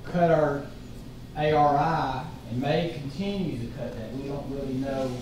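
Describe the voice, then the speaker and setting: A man explains cuts in a school budget at an unhurried, rambling pace, with plenty of fillers. He was picked up by a distant room mic. It sounds very echoey and hollow, with clear background noise. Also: medium pitch, darkish tone. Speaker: school finance officer presenting budget. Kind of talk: budget presentation at a board meeting. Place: large meeting room.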